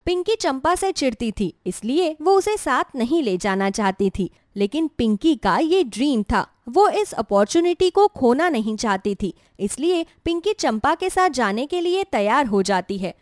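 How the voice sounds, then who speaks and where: A woman's voice: sits high, very animated, unhurried, hardly any pauses, dry recording, clean studio sound. A woman narrating a scripted moral story as a storyteller.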